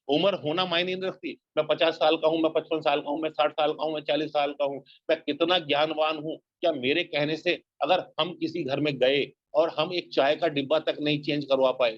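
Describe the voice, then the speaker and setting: A man as an earnest motivational speaker, slightly high voice, dull dry lo-fi recording. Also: no room sound. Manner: earnest, exhorting, repeats points. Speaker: network marketing speaker. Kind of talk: motivational talk.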